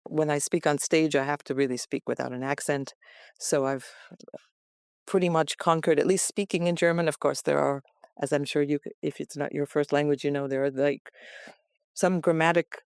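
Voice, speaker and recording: A woman's voice: sits low, steady tone, moderate pace, creaky, clear, resonant tone, no echo, studio quality. An American woman in her sixties from Los Angeles, a chatty guest who laughs often, talking over a remote call from a farmhouse attic. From West Los Angeles, USA. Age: 60s. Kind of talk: conversational interview.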